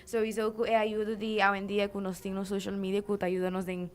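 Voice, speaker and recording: A woman's voice: medium pitch, monotone, brisk. A teenage girl from Aruba talking eagerly about her new youth radio show, switching between languages as she goes. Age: teens. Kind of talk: radio interview talk.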